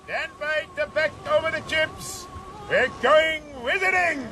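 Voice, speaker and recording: Domineering, cunning sea captain. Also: male voice, very high voice, measured pace, sharp tone, soft background music, full character voice. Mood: commanding. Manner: commanding, curt, slyly genial. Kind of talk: radio drama acting. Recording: noisy outdoor ship ambience.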